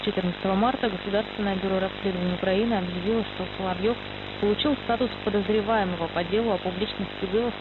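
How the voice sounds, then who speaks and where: A woman reads scripted news at a brisk pace. Her medium-pitched voice sounds thin and narrow over shortwave. Strong hiss and rumble cover the broadcast. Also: steady tone. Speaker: news presenter. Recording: phone-like shortwave radio, loud hiss.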